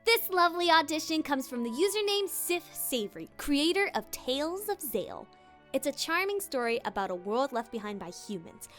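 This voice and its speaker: A woman's voice: sits high, a bit bright. A woman hosting a scripted, playful tutorial as a voice actor, with theatrical emphasis. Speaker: voice actor and video host.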